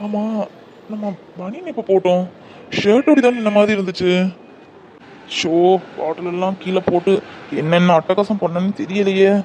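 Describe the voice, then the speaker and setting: Man voicing a heartbroken hungover young man, high-pitched, groggy self-pitying mutter. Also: moderate pace, pauses often, darkish tone, a little hiss or hum, close to an everyday voice.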